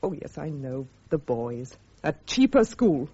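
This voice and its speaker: British radio drama: bitter, pragmatic officer's wife, brittle delivery, very expressive intonation, dull lo-fi sound. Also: female voice, low voice, a little slow, breathy edge, heavily acted. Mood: bitter, exasperated. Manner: brittle, sardonic, resigned.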